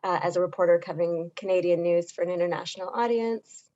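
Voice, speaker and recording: A woman journalist with a hoarse voice, giving clear and articulate interview answers. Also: lowish voice, steady tone, quick pace, dark tone, dull audio. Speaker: journalist guest.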